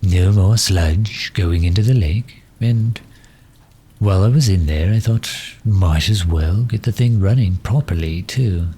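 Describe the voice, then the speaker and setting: A man playing a pompous, pedantic but affable gentleman supervillain in an ASMR roleplay, with a husky, breathy voice and a wordy, condescending manner full of hesitant pauses. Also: low-pitched. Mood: matter-of-fact. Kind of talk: ASMR roleplay audio drama.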